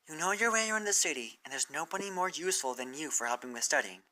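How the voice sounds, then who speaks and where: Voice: medium pitch, expressive, fast talker, bright, forceful tone, pitched above the performer's own voice, rough recording. A reader voicing an earnest, caring young adult female pony in a fan fiction story. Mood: warm, persuasive. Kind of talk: fan fiction character reading.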